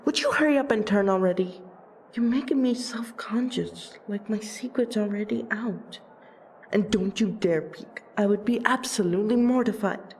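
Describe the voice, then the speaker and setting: A woman voicing a proud, protective female vampire princess in an audio roleplay, with wry, deliberate, theatrical phrasing. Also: mid-range voice, animated, vocal fry, warm tone, a little hiss or hum. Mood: flustered, embarrassed. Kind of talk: ASMR audio roleplay acting.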